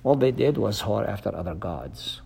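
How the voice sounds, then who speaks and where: A man's voice: on the low side, lively intonation, normal speed, gravelly, mellow, a little hiss or hum. A middle-aged man from Palestine, a pastor whose first language is Arabic, talking earnestly and casually with a group. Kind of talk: casual talk with an audience.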